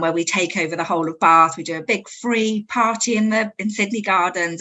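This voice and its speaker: A woman speaking as a guest in a casual discussion, telling anecdotes in an animated way. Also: fairly low pitch, low-quality recording. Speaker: panel guest, marketing agency co-owner.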